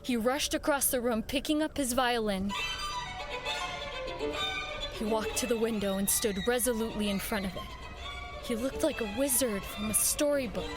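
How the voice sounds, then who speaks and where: A fairly high-pitched, anxious woman played by an actress tells of a horrifying ordeal in a radio play. Her delivery is intense, earnest and theatrical. Dramatic music plays clearly behind her. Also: normal speed, pauses often, natural voice. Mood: haunted, grave. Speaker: actress narrating an audio drama. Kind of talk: dramatic first-person horror narration with acted dialogue. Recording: music under the voice.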